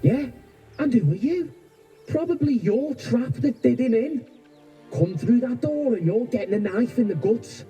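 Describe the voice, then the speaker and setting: Male bandit lookout for a game: very high voice, suspicious and threatening, clear music behind. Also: lively intonation, unhurried. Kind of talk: video game acting.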